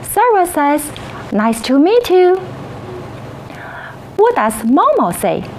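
A woman teaching a children's English lesson, encouraging and praising in slow, sing-song teacher talk. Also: high-pitched, big pitch swings, a little hiss or hum, poor audio.

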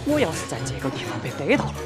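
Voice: medium pitch, sing-song, racing, close to an everyday voice. An actor playing a panicky, scrappy peeper with a Sichuanese accent, agitated and bickering in a slapstick comedy. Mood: panicked. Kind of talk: screen slapstick comedy acting. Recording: very loud music behind the voice.

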